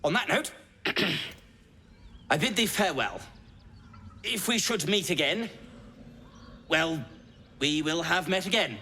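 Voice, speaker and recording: Casting: sardonic deep gnome, fairly high and bright, dry formal manner, fantasy game, slight noise behind. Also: male voice, animated, husky, full character voice. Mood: wry farewell. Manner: dry, formal, deliberate phrasing. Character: wry, proud male deep gnome, sardonic and weary. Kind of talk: video game voice acting.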